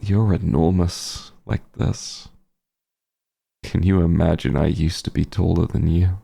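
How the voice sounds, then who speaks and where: A man playing a remorseful werewolf hunter in an intimate fantasy audio roleplay, speaking gently and haltingly with a husky, emotional voice. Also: low-pitched, fairly even intonation, full character voice. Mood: relieved, affectionate. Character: werewolf hunter, tender and remorseful, reunited with lost friend. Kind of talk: roleplay audio drama, intimate monologue to listener.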